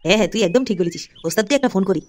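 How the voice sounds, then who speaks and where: A woman's voice: medium pitch, expressive, racing, a little mellow, voice pushed down, natural voice. A woman voicing an eager, cheeky male henchman in a cartoon who fawns on his boss and giggles. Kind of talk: cartoon voice acting.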